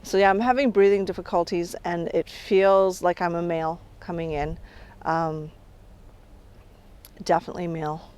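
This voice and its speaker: A middle-aged woman working as a spirit medium, talking calmly outdoors on an island and often trailing off quietly. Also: fairly low pitch, normal speed, smooth. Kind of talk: on-location reality show narration.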